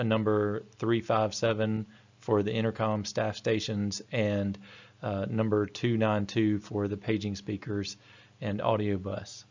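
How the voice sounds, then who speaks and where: Low, flat-voiced male technical instructor. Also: muffled recording. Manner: matter-of-fact, methodical. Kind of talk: slide-based technical lecture.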